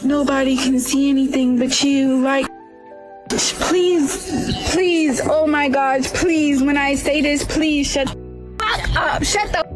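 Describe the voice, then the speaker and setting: A woman's voice: high voice, slow pace, music in the background. A woman venting casually in an exasperated manner, dragging words out for emphasis.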